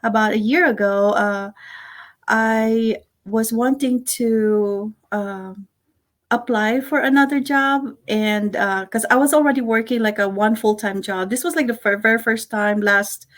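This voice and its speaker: A middle-aged woman from the Philippines, a guest chatting on a video call, talking warmly with many fillers and soft laughs. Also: fairly high pitch, fairly even intonation, unhurried. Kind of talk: casual interview chat.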